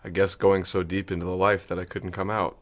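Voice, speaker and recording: An actor playing an earnest, gentle young soldier and lover in a naturalistic audio drama, halting, tentative and apologetic. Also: male voice, low-pitched, flat delivery, close to an everyday voice. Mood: quietly earnest.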